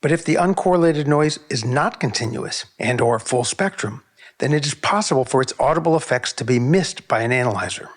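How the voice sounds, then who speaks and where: A man narrating a technical video on sound measurement in a measured, precise, explanatory way. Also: mid-range voice, animated.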